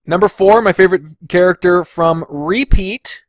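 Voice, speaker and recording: A man with a somewhat high voice talks in a casual, informal way, as a solo podcast host. He thinks aloud as he ranks his picks. The recording is dry, with very little room sound. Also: normal speed, no room sound. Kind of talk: casual solo ranking commentary.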